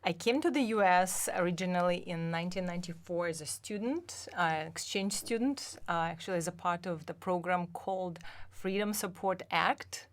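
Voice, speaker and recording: A middle-aged woman from Russia, a language instructor, chatting casually in a soft, thoughtful way that trails off quietly. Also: lowish voice, moderate pace, hardly any pauses. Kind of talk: casual chat.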